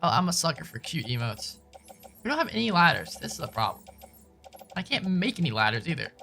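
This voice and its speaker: A man's voice: on the high side, big pitch swings, brisk, creaky, sharp tone, soft background music. A man streaming a game, chatting casually with viewers in informal slang and commenting as he plays. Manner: informal, slangy, says dude and man often. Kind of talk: casual live-stream chat and gameplay commentary.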